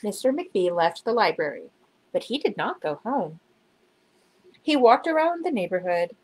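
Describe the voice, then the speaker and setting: Woman reading kids story out loud, very animated storyteller, normal pitch. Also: normal speed, breathy edge, rough recording. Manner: expressive, dramatic storytelling. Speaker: children's book reader. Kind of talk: storybook narration, read aloud.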